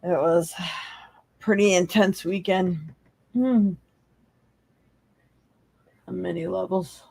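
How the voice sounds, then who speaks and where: A woman hosting a live psychic tarot stream, hoarse from losing her voice, chatting casually with viewers and laughing often. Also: on the low side, steady tone, slow, long pauses, mellow, no echo.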